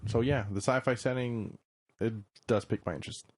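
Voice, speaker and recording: A man's voice: medium pitch, a little fast. A man co-hosting a casual podcast, loose and joking, laughing often. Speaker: podcast co-host. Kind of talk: casual chat.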